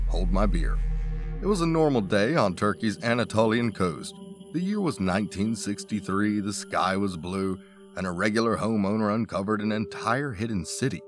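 A man reading a scripted countdown narration, dropping wry asides between the facts. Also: on the low side.

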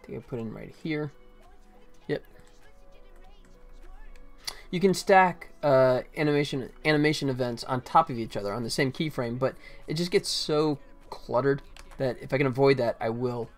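A man's voice: mid-range voice, moderate pace, pauses often. A man in his forties, a game developer, casually narrating his work on a livestream, often muttering to himself. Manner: muttering to himself in short bits. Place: small indoor room at a computer. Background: faint music, keyboard typing.

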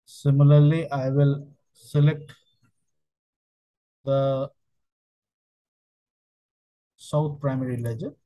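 Male software trainer, mid-pitched and dark-toned, halting step-by-step tutorial delivery. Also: rough recording.

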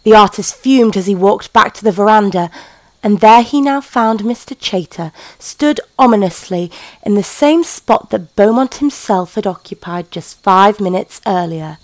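A woman giving measured, scripted narration of a country-house mystery. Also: mid-range voice, a little mellow, no echo, lightly acted, poor audio. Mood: measured, ominous.

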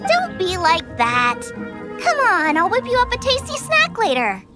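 A woman playing a cheerful, excitable teenage girl chef in a video game, eager and expressive. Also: very high voice, a little slow, over music, heavily acted. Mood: coaxing. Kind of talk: video game character acting.

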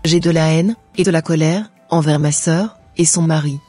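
Man voicing an earnest, husky young cartoon woman. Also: fairly high pitch, steady tone, normal speed, mellow. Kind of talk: scripted cartoon dialogue. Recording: music under the voice.

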